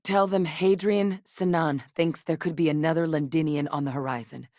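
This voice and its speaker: An actress voicing an earnest woman scientist in a video game, speaking in a measured, candid way. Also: fairly even intonation, darkish tone, no room sound, studio quality, close to an everyday voice. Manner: measured, earnest, low-key. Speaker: game character.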